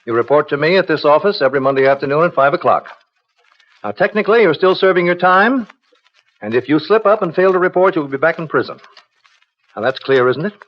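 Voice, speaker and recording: A man playing a brisk, businesslike parole officer in a clipped, official manner, in the style of 1950s radio crime drama. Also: fairly high pitch, animated, lightly acted. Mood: firm, businesslike. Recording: dull old radio broadcast recording.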